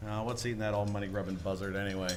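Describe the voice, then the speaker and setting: A man's voice: lowish voice, fairly even intonation, very fast, background noise, close to an everyday voice. An actor playing an earnest, restless young small-town man in a 1940s radio play, with a hesitant, folksy, stammering delivery. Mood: warm concern. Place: live church stage performance.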